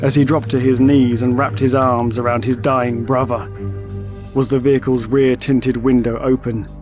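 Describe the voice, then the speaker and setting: A man giving scripted, dramatic narration for an audio story in a measured way. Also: mid-range voice, flat delivery. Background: music and sound effects.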